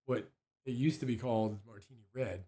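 A man with a hoarse, mellow voice chats casually as a radio guest. He rambles, restarts sentences and leans on fillers as he talks about music. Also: medium pitch, steady tone, brisk.

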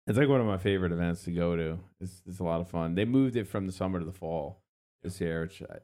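A man's voice: sits low, fast, mellow. A man hosting a local radio show, chatting casually and plugging upcoming events in an easygoing way. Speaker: radio host.

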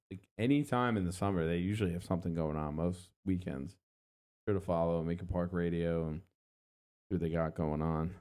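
A male host with a low voice and a clean, resonant sound. He chats casually and plugs events in an easy, laid-back conversational manner. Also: a little mellow, clear, resonant tone. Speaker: radio or podcast host.

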